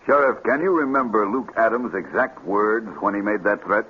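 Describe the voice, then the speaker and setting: Actor as a low-voiced probing prosecutor. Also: male voice, moderate pace, close to an everyday voice. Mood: formal probing. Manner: formal, precise questioning. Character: formal, probing courtroom prosecutor. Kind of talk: 1950s radio drama acting. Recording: muffled, noisy vintage radio recording.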